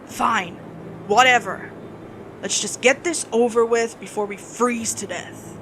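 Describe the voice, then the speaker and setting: A woman's voice: on the high side, a little slow, fairly bright tone, a little hiss or hum, voice pushed up, low-quality recording. A woman acting a reluctant, sarcastic, spooked young ghost hunter in a horror story, exasperated in manner.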